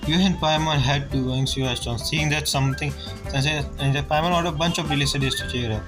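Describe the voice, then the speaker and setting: Higher-voiced man musing over a game. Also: fairly even intonation, fairly quick. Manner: rambling, musing aloud. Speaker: gaming streamer. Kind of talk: casual game playthrough commentary, reading dialogue aloud. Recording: game music behind the voice. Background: game music.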